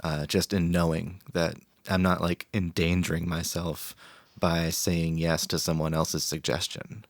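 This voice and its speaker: A man's voice: deep voice, level tone, unhurried, gravelly, no echo. A man in a casual podcast panel, reflecting aloud on socializing and drinking, with frequent restarts. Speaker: podcast guest.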